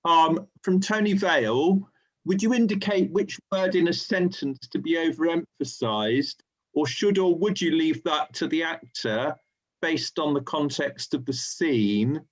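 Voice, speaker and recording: Higher-pitched male webinar host, dull lo-fi sound. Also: measured pace. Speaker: host relaying audience questions. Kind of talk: informal webinar moderating, reading out questions. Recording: dull, lo-fi online call audio.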